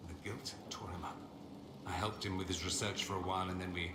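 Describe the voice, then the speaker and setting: An actor playing a witty, proud mage in a video game, speaking in a theatrical, sardonic and articulate manner. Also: male voice, low-pitched, steady tone, brisk, natural voice. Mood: rueful. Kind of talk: dramatic video game dialogue. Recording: roomy echo, faint ambient noise.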